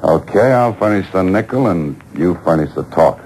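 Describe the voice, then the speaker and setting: Tough american man on the run, really low voice, sardonic and controlled, noisy old radio drama taping. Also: lively intonation, moderate pace, a little mellow. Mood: commanding. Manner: wry, controlled, taunting. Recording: noisy old radio show taped off air.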